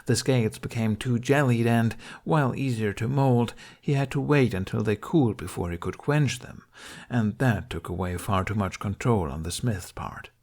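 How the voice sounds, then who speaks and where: A man's voice: lowish voice, moderate pace, darkish tone. A man reading scripted audiobook narration of a story.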